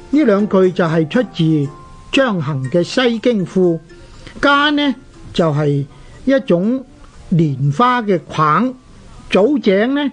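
A radio host with a Hong Kong Cantonese accent, explaining written characters in a deliberate, didactic way. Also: medium pitch, breathy edge. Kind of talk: explanatory talk on Chinese characters. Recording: dull lo-fi radio recording.

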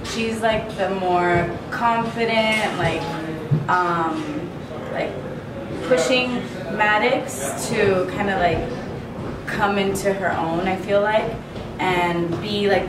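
A woman's voice: medium pitch, recorded from a distance, heavy background noise. A woman from Miami, an actress talking casually about her role, filling her speech with "like". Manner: casual, lots of "like". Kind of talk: behind-the-scenes interview. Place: apartment room on set. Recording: roomy, off-mic, loud music.

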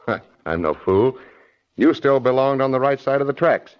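A man's voice: medium pitch, expressive, moderate pace, clean tone, lightly acted. An American actor playing an arrogant, self-serving businessman in 1940s radio drama, brusque and impatient. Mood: scornful, brusque. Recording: dull, lo-fi old radio recording.

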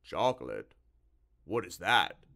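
A man's voice: deep voice, sing-song, takes it slowly, gravelly, bright, forceful tone, full character voice. Man voicing a ponderous, huge slimy storybook monster. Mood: puzzled. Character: huge slimy tentacled monster, male, ponderous, easily horrified.

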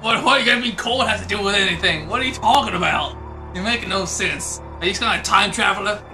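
Performer as sensible dog detective, medium pitch, exasperated banter, casual comedy dub, background music. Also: fast, close to an everyday voice. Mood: incredulous. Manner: incredulous, bantering. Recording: music playing behind the voice.

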